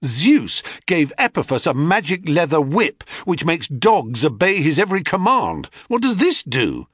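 Man voicing a high, sulky boastful boy. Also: very animated, unhurried, a bit bright, no echo, clean studio sound, exaggerated voice. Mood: petulant. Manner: high-pitched, boastful, defiant. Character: proud, sulky boy, son of a god.

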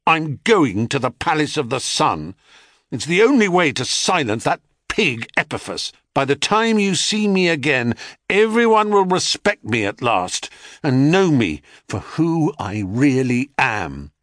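A man voicing a proud, sulky boy, the son of a god, who talks high and defiant. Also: very animated, a little slow, fairly bright tone, no echo, studio quality. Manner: high-pitched, boastful, defiant.

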